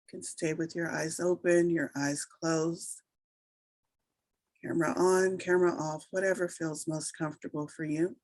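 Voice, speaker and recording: Low-voiced woman guiding a meditation. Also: flat delivery, darkish tone, dry recording. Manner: soothing, unhurried instruction with long pauses. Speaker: meditation guide. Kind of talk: slow guided meditation narration.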